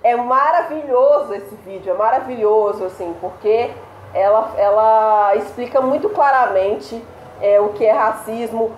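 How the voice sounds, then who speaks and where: A woman's voice: a little high, measured pace, muffled recording, a little hiss or hum. A young woman co-hosting a casual video chat, enthusiastically recommending things. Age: young adult. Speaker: co-host. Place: indoor room against a plain wall. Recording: roomy echo.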